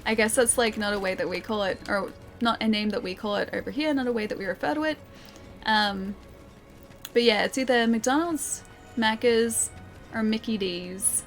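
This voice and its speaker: An Australian woman streaming a video game, chatting casually and reading viewer comments, with a slightly croaky voice. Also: on the high side, normal speed. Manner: chatty, rambling, frequent ums and laughs. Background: loud game music.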